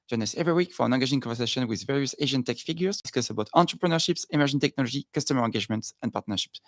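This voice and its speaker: A man hosts an interview in a friendly, halting way, filling pauses with ums and uhs. His voice has a gravelly edge, mid pitch, and the recording is dry and close. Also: no echo. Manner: friendly, halting, many fillers. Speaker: podcast host. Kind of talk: interview hosting.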